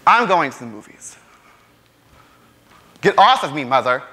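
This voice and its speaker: Man playing frustrated young working-class man, theatrical monologue, defiant, impassioned, rhetorical, forceful bright tone, raised pitch. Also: sing-song, measured pace, heavily acted. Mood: angry, sarcastic.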